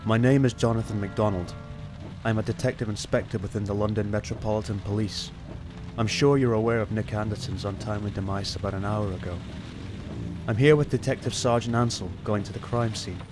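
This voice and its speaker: A man playing a grim, determined detective inspector in a noir radio play, with a deadpan delivery. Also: lowish voice, brisk, music in the background, natural voice. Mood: grim resolve. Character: brooding, determined male detective inspector narrating his bleak story. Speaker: actor in amateur college radio play.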